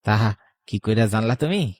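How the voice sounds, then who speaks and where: A man's voice: fairly low pitch, lively intonation, normal speed, a little mellow, no room sound. Guy doing tipsy village bloke, rural bengali dialect, cocky rambling horror cartoon voice, dry sound. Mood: puzzled, cheeky. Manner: rambling, suspicious, cheeky. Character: tipsy, cocky village man carrying fish home.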